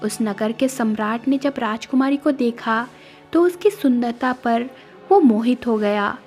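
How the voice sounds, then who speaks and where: A woman narrates a cartoon moral tale in a high voice. It is scripted storytelling, with faint music playing behind her. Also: unhurried, darkish tone.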